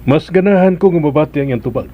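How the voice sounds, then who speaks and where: A man's voice: on the high side, fast, muffled recording, close to an everyday voice. Man acting composed bridegroom with Cebuano Filipino accent. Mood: firm, calm. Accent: Filipino, Cebuano-speaking. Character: composed, well-meaning bridegroom giving instructions, a respected sir. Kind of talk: Philippine radio drama acting.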